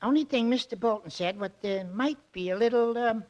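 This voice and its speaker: An actor playing a tiny, timid but boastful private detective in a 1940s radio drama, stammering and self-important. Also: male voice, very high-pitched, fairly quick, lo-fi sound. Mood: sheepish, confiding. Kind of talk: 1940s radio comic acting. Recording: old 1940s radio recording.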